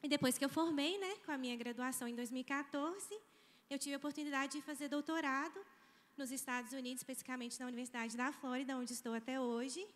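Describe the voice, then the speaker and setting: A woman in her thirties with a Mineiro Brazilian accent speaks in a high voice, chatty and informal, often tossing in tag questions. She is giving a keynote on a handheld microphone. The sound carries a long reverb from a large auditorium. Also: fairly even intonation, quick pace. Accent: Mineiro Brazilian Portuguese. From Brazil, Minas Gerais. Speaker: guest speaker, nursing professor. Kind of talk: conference keynote talk. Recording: hall reverb.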